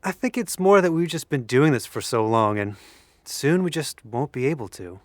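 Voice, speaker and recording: A man's voice: mid-range voice, lively intonation, moderate pace, dry recording, clean studio sound, natural voice. A man playing a supportive, mild-mannered college student in his early twenties in an audio drama. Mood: casual. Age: young adult.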